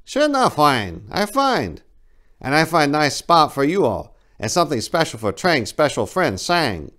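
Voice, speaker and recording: A man's voice: on the high side, sing-song, a little slow, fairly bright tone, voice pushed up. A man voicing a sly, foul-mouthed Vietnamese scout who taunts in broken English with a Vietnamese accent, in a story reading. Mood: eager, sly.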